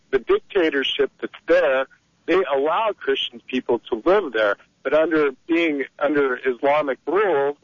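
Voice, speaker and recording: A man's voice: a little high, dry recording. A man calling in to a radio talk show, talking in a heated, rambling, opinionated way over the phone. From Egypt. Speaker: caller. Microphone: telephone. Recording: dull lo-fi phone line.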